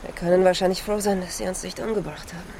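A woman's voice: lowish voice, steady tone, fast, warm tone, slight background noise, close to an everyday voice. An actress playing a tense, urgent female reporter caught in danger in a radio drama. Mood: shaken.

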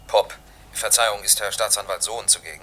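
An actor playing an exacting, brisk male forensic chemist in a German historical crime radio drama, precise and assertive. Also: lowish voice, fairly even intonation, bright, forceful tone. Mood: decisive.

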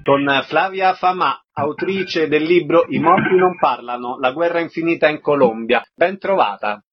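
A man's voice: on the high side, moderate pace, faint music behind. A man hosting a radio interview, putting concise questions and introductions to guests. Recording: dull, lo-fi radio sound.

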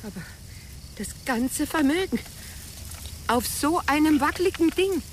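A woman's voice: sits high, animated, unhurried, a little hiss or hum. A woman playing an anxious, worried female client in a German radio drama, questioning nervously. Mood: doubtful, anxious. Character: nervous, anxious woman entrusting away her fortune.

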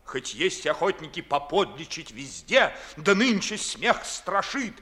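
An eloquent, mocking freethinker in vintage radio theatre. His bright voice sweeps widely up and down as he declaims verse with ardent, oratorical phrasing. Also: a little high, very animated, a little slow, full character voice. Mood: mocking, impassioned. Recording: 1950s Soviet radio play recording.

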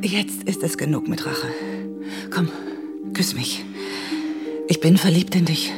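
A self-assured, teasing woman with a low voice in a radio drama. She is a writer and lover who coaxes and commands in an intimate way. Music plays clearly behind her. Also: takes it slowly. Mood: seductive. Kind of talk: German radio drama dialogue.

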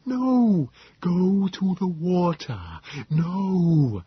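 Wheezing, frail, pleading little creature. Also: male voice, high-pitched, huge pitch swings, no room sound, lo-fi sound, pitched above the performer's own voice, heavily acted. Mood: gasping, pleading. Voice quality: thin liquid voice, wheezing and breathy. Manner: halting, gasping, slow. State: out of breath.

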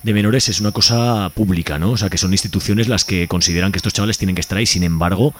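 A man's voice: low-pitched, fast talker. A man with an accent from Spain, hosting a radio interview in an informal, conversational way with frequent checking tags. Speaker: radio host.

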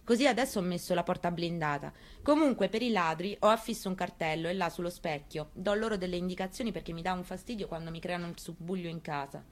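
An actress performing a first-person theatrical monologue reading, in a measured, intimate and quietly intense way. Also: female voice, fairly low pitch. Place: radio broadcast of a stage reading.

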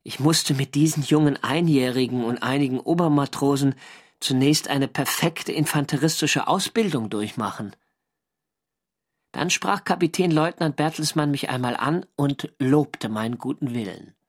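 A man playing an earnest sailor recounting his war diary in a radio play. His voice sits at a middle pitch, and he tells things in a measured, literary way with a wry undertone. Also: natural voice. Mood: wry, reflective. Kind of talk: scripted first-person radio drama narration.

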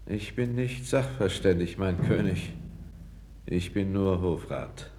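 An actor playing a calm, candid foreign court councillor, speaking with measured, deferential gravity in a 1960s radio play. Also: male voice, sits low, noisy background. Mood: deferential, matter-of-fact. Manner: measured, grave, deferential yet frank.

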